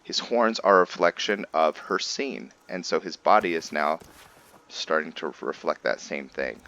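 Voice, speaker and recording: A man's voice: rumbling low, measured pace, a bit bright. A man hosting a video game roleplay, giving casual, informal commentary and explaining things as he plays.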